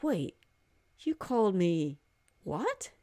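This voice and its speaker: Casting: woman reading a skeptical young woman, sharp-tongued, disbelieving, storybook. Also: medium pitch, very animated, slow pace, dry recording. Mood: dazed.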